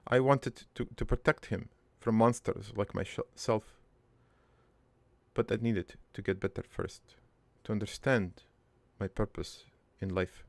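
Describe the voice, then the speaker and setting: A man playing a gruff, crude army veteran turned prankster, telling his regretful life story in a halting, matter-of-fact ramble for an improvised cartoon monologue. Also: lowish voice, dry recording. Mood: somber, weary.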